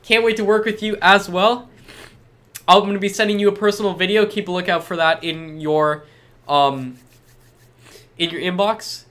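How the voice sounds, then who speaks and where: A man's voice: sits high, normal speed, long pauses, fairly bright tone. A young man with a stuffed-up head cold, hosting a live webinar and answering chat questions in an upbeat, reassuring way. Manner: upbeat and reassuring, reads chat aloud then replies.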